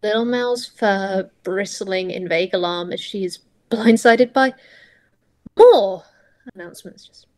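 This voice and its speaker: A woman narrating roleplay prose as a player, reading dramatically in halting phrases. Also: medium pitch, a little mellow.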